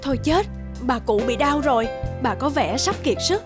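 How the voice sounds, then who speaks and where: High-voiced kind young mother. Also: lively intonation, normal speed, music in the background. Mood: worried, caring. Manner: gentle, respectful. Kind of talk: animated folk-tale acting.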